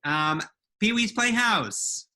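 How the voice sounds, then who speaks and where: A woman co-hosting a casual comedy podcast in a brisk, playful, jokey way. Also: medium pitch, lively intonation, pushed and bright. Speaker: podcast co-host. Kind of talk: casual comedic chat among friends. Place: recorded remotely over a video call.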